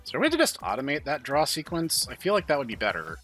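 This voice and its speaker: Bright-voiced guy doing game commentary. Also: medium pitch, wildly swooping pitch, fast talker, faint music behind. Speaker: host. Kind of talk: casual game playthrough commentary.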